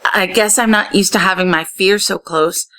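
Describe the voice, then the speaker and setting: A woman's voice: medium pitch, normal speed, a bit bright, no room sound, close to an everyday voice. A woman voicing an anxious, sensitive young girl of the sea in a storybook, speaking in an earnest, emphatic way. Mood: earnest, defensive. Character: anxious, sensitive young water-child girl, self-reproachful.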